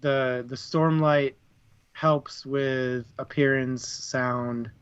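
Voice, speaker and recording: A man's voice: mid-range voice, fairly even intonation, drawn-out words, smooth, highs cut off. A man casually discussing a book as a podcast co-host.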